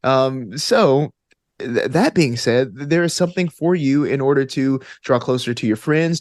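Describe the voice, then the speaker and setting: A man's voice: a little high, a little mellow, no echo, studio quality. A man answering as an interview guest, earnest and reflective, thinking aloud with plenty of "like" and "you know". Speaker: podcast guest, actor and tabletop gamer.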